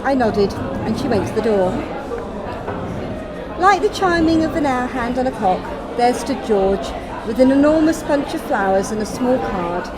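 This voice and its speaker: A woman's voice: on the high side, animated, normal speed. A woman narrating her own short story in the first person, with acted dialogue. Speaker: author reading her story. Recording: loud crowd noise in public room.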